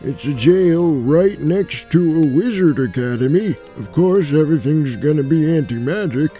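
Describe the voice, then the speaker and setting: A man's voice: a little high, expressive, normal speed, dark tone, soft background music, natural voice. A man playing a wry, streetwise, chatty old dwarf jailbird with a casual, sardonic manner in a fantasy audio drama. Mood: wry, world-weary.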